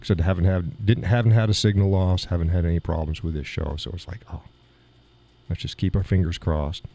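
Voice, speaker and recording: A man hosting a live stream in casual, rambling chat, with a slight gravel in his voice and chuckles between remarks, recorded in a home studio through a mixer board. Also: deep voice, steady tone, a little fast, dark tone. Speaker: live show host. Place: home studio in a weight room. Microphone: studio mic through mixer board.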